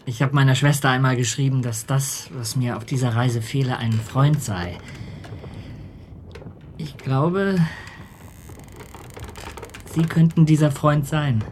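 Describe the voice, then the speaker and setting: A man's voice: medium pitch, slow pace, long pauses, slight background noise, natural voice. Man acting an earnest, ambitious polar ship's captain. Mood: warm, hopeful. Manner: earnest, literary, heartfelt delivery. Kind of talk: radio drama acting and letter narration.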